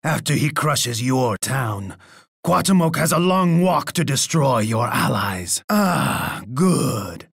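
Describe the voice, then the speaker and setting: An actor playing a boastful, menacing Aztec emperor in a video game, with a gravelly, raspy voice and a pompous way of speaking. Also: male voice, mid-range voice, animated, takes it slowly, fairly bright tone, no room sound, studio quality, exaggerated voice. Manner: pompous, speaks of himself in third person. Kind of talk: theatrical video game voice lines.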